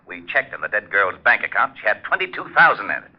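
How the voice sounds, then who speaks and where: A man acting an earnest, brisk, no-nonsense police detective in an old-time radio crime drama. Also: lowish voice, big pitch swings. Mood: earnest, impatient. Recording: dull vintage radio recording.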